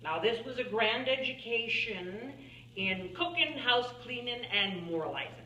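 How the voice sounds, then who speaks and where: Slow, halting elderly farm woman, acted by a woman. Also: a little high, lively intonation, pushed and bright. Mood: fond reminiscing. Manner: halting, musing, with small chuckles and pauses. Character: elderly folksy farm-woman painter, plainspoken and wryly reminiscing. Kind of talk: theatrical monologue in character. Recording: roomy, distant mic, faint background noise.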